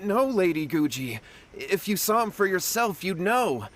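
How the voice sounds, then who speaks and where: A man's voice: high voice, big pitch swings, unhurried, a bit bright, faint music behind, heavily acted. A man voicing a desperate young man in a video game, earnest and anxious as he pleads for help.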